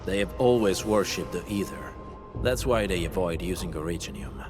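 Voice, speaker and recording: An actor playing a grave, knowledgeable warrior in a video game, explaining things in a measured way. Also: male voice, sits low, over music, natural voice. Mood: grave, explanatory.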